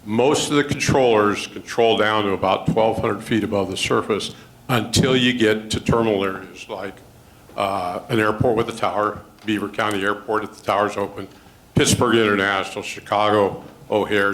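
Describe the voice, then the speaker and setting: A man's voice: fairly low pitch, fairly bright tone, rough recording. An American man acting as a knowledgeable co-presenter, politely and methodically explaining to listeners in an informal talk.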